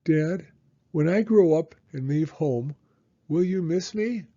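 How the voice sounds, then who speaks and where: A cheerful, wondering young boy from a storybook, voiced by a man. The delivery is eager and very animated, with a soft-edged, husky tone and dull, muffled sound. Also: on the high side, slow pace, voice pushed up, full character voice. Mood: affectionate, wondering. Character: cheerful young boy talking to his dad.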